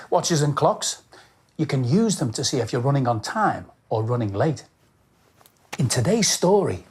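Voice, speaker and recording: A man's voice: on the high side, slightly rough. A man presenting children's storytime, narrating in a scripted style and speaking directly to young viewers with questions and simple instructions.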